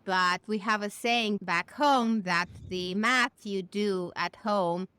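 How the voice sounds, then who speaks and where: Woman, bright clear voice, confident and matter-of-fact in an interview. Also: mid-range voice, takes it slowly. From Romania. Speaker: guest, naming agency founder. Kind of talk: interview answers.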